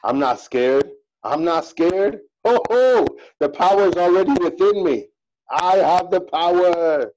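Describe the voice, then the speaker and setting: Male group participant, raised pitch, playful loud bragging, muffled lo-fi sound. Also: animated, a little slow.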